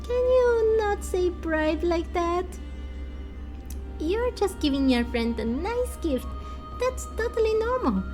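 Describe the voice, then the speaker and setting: Woman doing a squeaky, excitable little fairy companion. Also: takes it slowly, faint music behind, full character voice. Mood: flustered, scolding. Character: small, excitable, scolding floating fairy companion. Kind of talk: fan voice-over of game dialogue.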